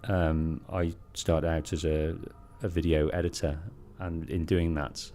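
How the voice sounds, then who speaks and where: A British man with a deep, bassy voice giving measured, polite storytelling commentary as he streams a game, close on the microphone. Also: little pitch movement, dark tone. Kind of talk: let's play commentary, storytelling. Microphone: close bass-heavy streaming microphone.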